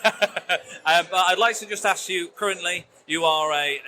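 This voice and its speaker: Chatty bright-voiced English host. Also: male voice, high voice, normal speed, a little hiss or hum. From England. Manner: chatty, laughs often, stumbles over words. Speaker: podcast host. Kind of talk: casual convention interview. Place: busy convention floor.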